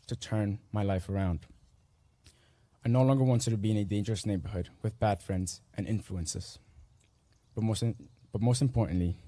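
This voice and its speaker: Earnest teenage boy, low mellow voice. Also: no echo. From Guatemala. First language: Spanish. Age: late teens. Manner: earnest, measured delivery in short phrases. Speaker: graduating student speaker. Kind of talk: reading a personal essay aloud at a graduation. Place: school commencement ceremony.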